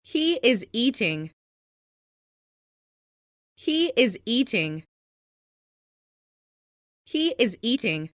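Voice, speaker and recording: A woman reading scripted English example sentences for language learners, enunciating carefully and deliberately. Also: on the high side, big pitch swings, no room sound, studio quality. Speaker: English lesson narrator.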